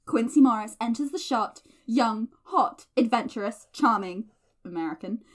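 High-pitched young woman played by an actress, nerdy, teasing, theatrical, chatty audio diary drama. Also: animated, moderate pace. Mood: mock dramatic. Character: playful nerdy young woman teasing her best friend.

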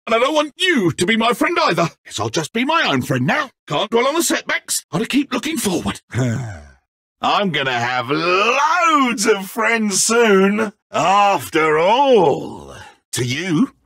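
An actor playing a petulant, theatrical godlike video game villain, grandiose and mock-friendly with a sulky streak and a raspy edge. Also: male voice, sits high, a bit bright, no echo, studio quality, exaggerated voice. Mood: menacing, bitter. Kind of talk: theatrical game character acting.

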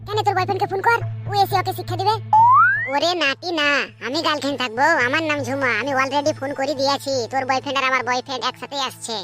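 A woman voicing bawdy young cartoon village women who tease and scold in quick bursts. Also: fairly bright tone. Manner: quick, shrill, teasing. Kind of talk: cartoon comedy dubbing. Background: music.

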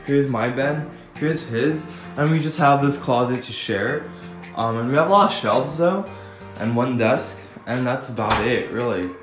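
A young man from California narrating a casual travel vlog in a small dorm room, talking into a phone at arm's length in a relaxed way with fillers. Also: mid-range voice, moderate pace. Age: young adult. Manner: relaxed, laid-back with fillers. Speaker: vlogger. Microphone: phone held at arm's length. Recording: background music under the voice.

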